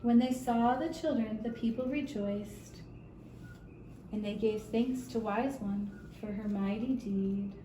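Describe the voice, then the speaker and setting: A woman telling a scripted story for young children in measured, deliberate phrases with long pauses, recorded in a roomy space away from the mic. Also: medium pitch. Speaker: storyteller for a kindergarten puppet show. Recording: roomy, distant mic with faint noise.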